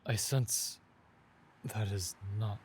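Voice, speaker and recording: A man playing a weakened, proud vampire with formal, solemn phrasing and a breathy voice that dips into whispers, in a dramatic ASMR audio roleplay. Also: lowish voice, slow, darkish tone, slight background noise. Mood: resigned.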